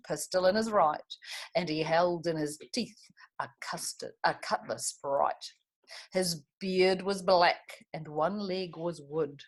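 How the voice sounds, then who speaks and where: A woman reading picture books aloud to young children in an expressive way, with chatty asides and little tag questions. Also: lowish voice. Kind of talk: animated picture-book read-aloud with asides to young listeners.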